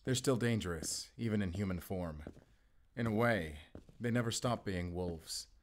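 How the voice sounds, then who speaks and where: Man playing a low-voiced, wry wolf hunter. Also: moderate pace, a little hiss or hum, close to an everyday voice. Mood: matter-of-fact. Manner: teasing, conversational, explaining to a companion. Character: wry, playful male German wolf hunter, confident, protective. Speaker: voice actor. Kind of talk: cinematic audio roleplay acting.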